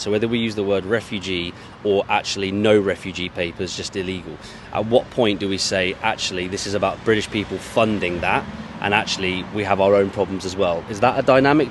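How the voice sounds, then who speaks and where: A man holding a microphone out on the street, questioning passers-by in a probing, leading way and circling back on his words. His voice sits a little low, and faint traffic hums behind him. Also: steady tone, a little fast. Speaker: street interviewer. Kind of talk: vox-pop street interview. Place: outdoors on a street near a university. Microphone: handheld mic.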